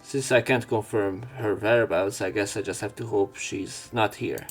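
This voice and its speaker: A man's voice: on the low side, fairly even intonation, moderate pace, husky. A man doing a let's play, reading a visual novel aloud in an everyday voice and adding casual commentary. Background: game music.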